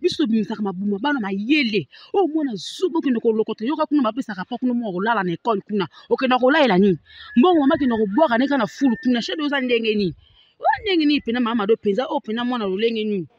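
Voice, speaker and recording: A man with a Congolese accent playing a blunt, rambling, meddling busybody in an animated comedy, full of repeated filler words, on a dull recording. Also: very high-pitched, quick pace, no echo. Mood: nagging.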